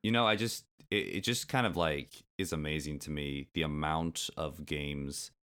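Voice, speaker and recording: A man's voice: a bass voice, normal speed, a bit bright, smooth. A man from the United States co-hosting a podcast in casual chat, curious and asking questions. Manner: curious, asks questions, chatty. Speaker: podcast co-host.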